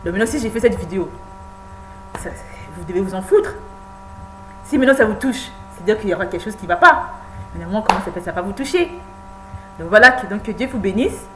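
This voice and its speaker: A woman in her thirties recording a personal video message, halting and repeating words, insistent and pleading. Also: medium pitch, animated, music in the background. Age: 30s. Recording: echoey room with faint hum.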